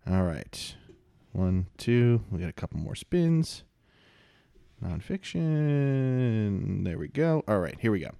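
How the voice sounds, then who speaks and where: A man's voice: fairly low pitch, very animated, takes it slowly, vocal fry, pauses often, dark tone, clean tone, dry recording, studio quality. A man hosting a podcast in casual chat, laid-back and rambling, with lots of fillers. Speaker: podcast host.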